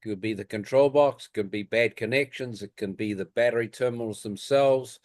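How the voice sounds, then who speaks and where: A man giving technical advice on a group call in a deliberate, matter-of-fact way. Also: mid-range voice, no room sound, clean studio sound. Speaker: technical adviser. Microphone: conference call.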